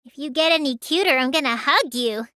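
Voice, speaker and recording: A woman voicing a small, childlike teenage girl mage in a video game, high and girlish, sulky and excitable with a whiny edge. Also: a little fast, no echo, clean studio sound. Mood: sulky, matter-of-fact. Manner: whiny, stammers when startled. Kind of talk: English game dub voice lines.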